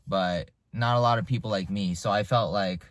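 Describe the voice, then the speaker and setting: A man's voice: lowish voice, normal speed, no room sound. A man in his twenties, raised in the United States, talking through his thoughts in a loose, reflective monologue with frequent restarts. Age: 20s. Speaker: vlogger. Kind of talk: casual unscripted monologue.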